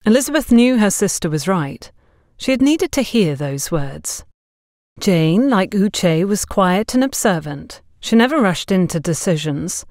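A woman's voice: mid-range voice, big pitch swings, unhurried, darkish tone, no room sound, studio quality. A woman working as an audiobook narrator, reading scripted story narration.

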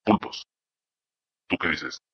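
Young host goofing around with a voice changer, slow, bright and husky. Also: male voice. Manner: joking, rambling, silly insults. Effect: deep voice changer. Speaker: channel host. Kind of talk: playful voice-changer demo.

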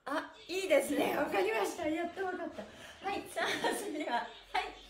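A woman's voice: extremely high pitch, animated, a little fast, a little hiss or hum. A middle-aged woman teaching a live-streamed stretching class in a bubbly, sing-song, encouraging way. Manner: bubbly, sing-song, encouraging, adds polite honorifics to everyday things. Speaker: stretching instructor and pantomime performer. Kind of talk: live-streamed exercise class, chatty instruction and chanting. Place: small bare room with mat, indoors. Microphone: camera or phone a couple of metres away. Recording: echoey room, mic at a distance.

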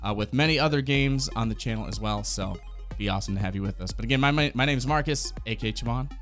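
A man's voice: medium pitch, fast, a bit bright. A man in his thirties giving enthusiastic, slangy reaction commentary, casual, close on a desk microphone at home. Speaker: streamer and reaction host. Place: small home gaming room. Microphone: close desk microphone on boom arm. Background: music.

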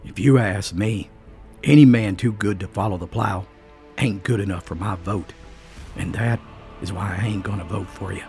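A man doing a gruff, burly mountain farmer. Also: fairly low pitch, normal speed, darkish tone, faint music behind, close to an everyday voice. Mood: stubborn.

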